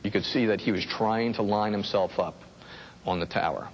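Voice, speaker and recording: A man with an American accent recalling events in an interview, halting and repeating words as he goes. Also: on the low side, a little hiss or hum, low-quality recording. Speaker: air traffic controller interviewee. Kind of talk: first-hand recollection in interview.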